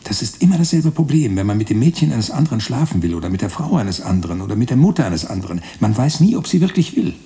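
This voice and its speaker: An actor narrating a German literary radio drama as a wry storyteller. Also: male voice, mid-range voice, sing-song, fast, hardly any pauses, warm tone. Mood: musing.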